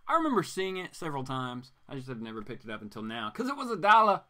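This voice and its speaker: A man's voice: mid-range voice, wildly swooping pitch, fast, bright, forceful tone. A man hosting a live show, casually reading comics aloud and commenting with mock-incredulous asides.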